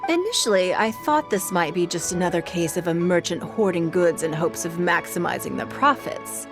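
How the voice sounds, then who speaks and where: A woman playing a shrewd, refined, wealthy businesswoman in a video game, speaking in a measured, formal, eloquent way. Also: on the low side, lively intonation, music in the background. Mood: composed, informative. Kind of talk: game dialogue acting.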